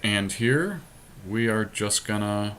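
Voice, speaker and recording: A man's voice: fairly low pitch, animated, slow, a bit bright. A man casually thinking aloud as he co-hosts a card game commentary, in an informal, mildly profane way. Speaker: podcast co-host playing a card game. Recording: amateur capture with faint background noise.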